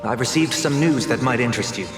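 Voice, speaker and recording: A man's voice: medium pitch, quick pace, natural voice. A man voicing a formal, respectful messenger who reports news, in a game cutscene. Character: male messenger bringing news. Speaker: game character. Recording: echoey reverb with loud music.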